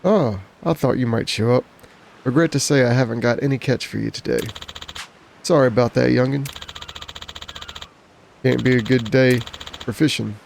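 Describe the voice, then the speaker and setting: A man's voice: mid-range voice, moderate pace, vocal fry, pauses often, slight background noise, lightly acted. An actor playing a humble, apologetic, folksy old fisherman in a video game. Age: older.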